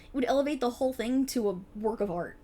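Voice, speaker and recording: A woman's voice: on the high side, animated, fast talker, mellow. A woman playing a playful, exasperated voice actor bantering casually with her best friend in an ASMR roleplay. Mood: teasing, candid. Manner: sarcastic, chatty, casual, hesitant asides. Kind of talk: ASMR roleplay, one side of a conversation.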